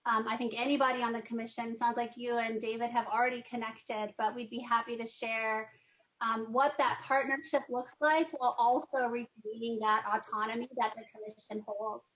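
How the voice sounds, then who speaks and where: A woman's voice: fairly high pitch, steady tone, moderate pace, a bit bright. A woman commissioner offering a welcome at a video call meeting, speaking with many fillers. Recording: dull lo-fi video call audio.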